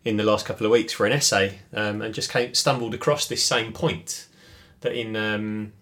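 A man's voice: on the low side, fairly even intonation, normal speed, a bit bright. Man musing in a casual chat. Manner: thoughtful, many fillers and restarts. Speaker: podcast co-host.

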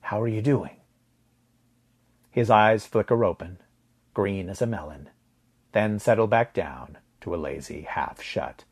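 A man's voice: deep voice, lively intonation, a little slow, a little mellow, breathy edge. A man narrating first-person science fiction fiction from a script as a storyteller.

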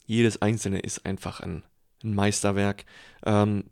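A man's voice: on the low side, flat delivery, measured pace, creaky, darkish tone. A man co-hosting a film podcast, chatting casually about movies. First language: German.

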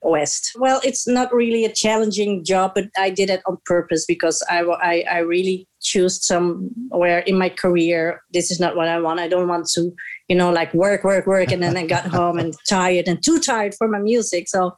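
A woman's voice: fairly low pitch, fairly even intonation, moderate pace. Hesitant Dutch-accented woman guest. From Netherlands. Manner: hesitant, many fillers, laughs often. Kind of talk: casual interview chat.

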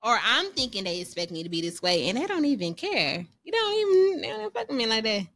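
A woman in her thirties co-hosting a podcast, talking candidly and casually, slowing down when she reflects. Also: fairly high pitch, sing-song, a bit bright. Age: 30s. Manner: candid, chatty, slows down to reflect. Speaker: podcast co-host. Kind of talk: casual chat.

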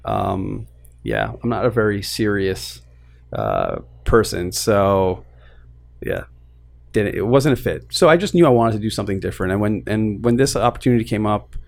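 Young guy from Queens, relaxed guest, lowish voice, laid-back and self-mocking, restarts a lot. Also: normal speed, creaky. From Queens, New York. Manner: easygoing, self-deprecating, frequent restarts. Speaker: guest, lending business co-founder. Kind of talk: casual interview chat.

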